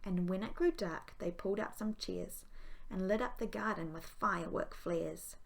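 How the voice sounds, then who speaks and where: A woman's voice: medium pitch, moderate pace, creaky. A woman, a primary school teacher, reading a children's story aloud expressively.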